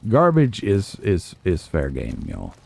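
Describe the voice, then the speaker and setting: A man's voice: low-pitched, huge pitch swings, takes it slowly, creaky, a little mellow. A man giving casual, playful gameplay commentary, talking back to characters in a theatrical way. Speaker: let's play host.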